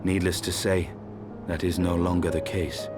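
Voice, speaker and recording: Man as a deep, grave, world-weary knight. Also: flat delivery, normal speed, over music, close to an everyday voice. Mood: grave, resolute. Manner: formal, archaic, grave. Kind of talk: video game voice acting.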